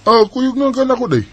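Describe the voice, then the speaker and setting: A man's voice: extremely high pitch, heavily acted. A man acting an affectionate, easygoing young husband and father with a Bisaya Filipino accent in a radio drama.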